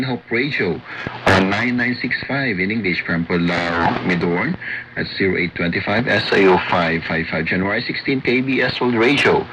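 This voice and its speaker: A man's voice: lowish voice, dull audio. A man with a Filipino accent reading a scripted radio report in a methodical, item-by-item way. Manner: methodical, enumerating. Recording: noisy shortwave radio reception.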